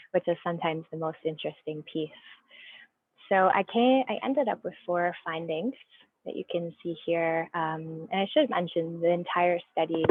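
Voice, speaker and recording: A woman giving a research presentation on a video call, explaining in a measured way with small restarts. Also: lowish voice, no room sound. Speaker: guest speaker presenting research. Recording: tinny phone-like line, dry.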